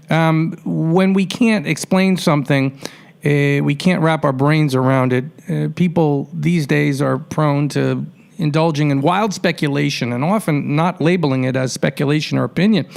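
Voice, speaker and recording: Male radio host, moderately high pitch, wordy, informal interviewing style. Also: gravelly. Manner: wordy, colloquial, builds up to questions. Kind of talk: conversational sports radio interview.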